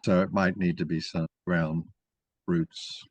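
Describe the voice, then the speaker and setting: Very deep-voiced man rambling in discussion. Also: flat delivery, a little mellow, no echo. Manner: halting, rambling, thinking aloud. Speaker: moderator of an online mock trial. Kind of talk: informal discussion.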